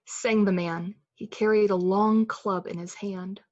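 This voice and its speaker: A female storyteller played by an actress with a slightly husky, mid-pitched voice. She narrates a vintage-style radio thriller in measured, dramatic phrases. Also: fairly even intonation, lo-fi sound, lightly acted. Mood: ominous. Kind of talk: scripted suspense narration, 1940s radio drama.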